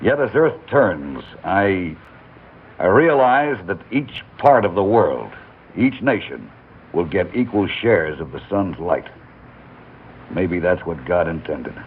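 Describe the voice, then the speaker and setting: An actor playing a calm, steady male spacecraft commander in an old radio drama, giving clipped orders. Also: deep voice, takes it slowly, exaggerated voice. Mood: solemn. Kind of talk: 1950s radio drama acting. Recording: tinny old radio broadcast with faint hiss.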